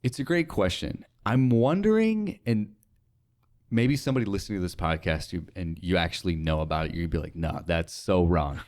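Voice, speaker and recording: Young adult male, low-pitched, informal podcast guest who muses as he talks. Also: lively intonation, moderate pace, darkish tone. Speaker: podcast guest, radio sales associate. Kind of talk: casual podcast chat.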